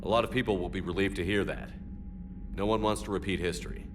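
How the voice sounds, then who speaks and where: An actor playing a no-nonsense human military commander in a video game, speaking in a terse, controlled and authoritative way. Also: male voice, low voice, fast talker, noisy background, lightly acted. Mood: level. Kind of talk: video game character acting.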